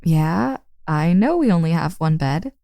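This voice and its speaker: Woman acting as playful young older-sister type, tired and teasing, slow pace, very expressive pitch, intimate audio roleplay. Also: no echo, clean studio sound. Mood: teasing, coaxing. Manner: casual, playful, sighing, drawn-out words. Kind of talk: intimate roleplay audio drama addressed to the listener.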